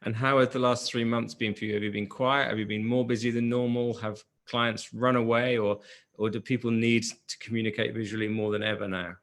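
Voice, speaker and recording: A man's voice: medium pitch, level tone, a little fast, fairly bright tone. A man hosts a live online interview over a video call from his office. He speaks fluently, leading the conversation with easy, friendly questions. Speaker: interview host, editor.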